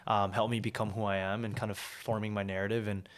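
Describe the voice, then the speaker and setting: A young man from South Korea, a podcast guest, talking in a relaxed way with lots of "like" and "um" fillers. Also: on the low side, fast. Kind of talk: casual interview talk.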